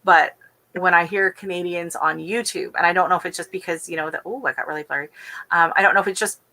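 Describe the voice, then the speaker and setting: Rambly american lady on a garden livestream, lowish voice, kinda sharp and bright. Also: fairly even intonation, quick pace. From Texas, USA. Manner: rambling, chatty, filler-heavy. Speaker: gardening channel host. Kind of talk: casual live-stream chat.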